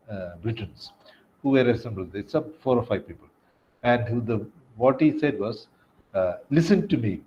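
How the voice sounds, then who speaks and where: A man talks casually as an interviewer, with a middling pitch. He is halting and wandering, and the sound is dull with faint noise behind. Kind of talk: casual conversation, anecdote telling. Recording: dull lo-fi call with background noise.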